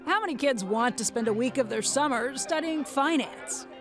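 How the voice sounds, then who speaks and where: A woman's voice: sits high, lively intonation, moderate pace, music in the background. An American woman reading scripted documentary narration.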